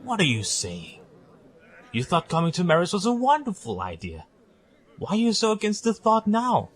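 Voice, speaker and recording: Man as earnest pony father, slightly high and animated, formal courteous delivery for audio drama. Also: normal speed, slightly rough, heavily acted. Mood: encouraging. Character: polite hopeful pony father, a struggling violinist.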